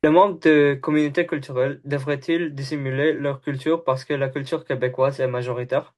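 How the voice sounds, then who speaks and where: A man's voice: mid-range voice, steady tone, normal speed, darkish tone. Man reading questions, stumbling on words. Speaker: student interviewer.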